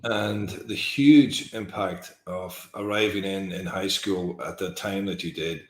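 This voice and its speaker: A man's voice: low voice, takes it slowly, roomy sound. A man hosting an interview, speaking in a thoughtful, empathetic way with frequent hesitations. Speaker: interview host. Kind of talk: reflective conversational interview.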